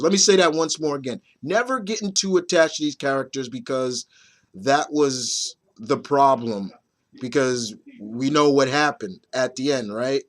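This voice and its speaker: Male vlog reviewer, thirties, mid-pitched, a little raspy from a cough, casual and halting. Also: fairly bright tone. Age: 30s. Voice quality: slightly raspy. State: mildly under the weather with a nagging cough. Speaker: movie reviewer.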